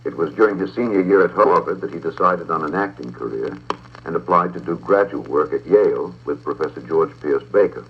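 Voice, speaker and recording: A middle-aged man with a very low, husky voice reads scripted narration. His delivery is measured and formal, with precise diction. The sound is dull and muffled, like an old talking-book recording. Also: expressive. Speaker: audiobook narrator and presenter.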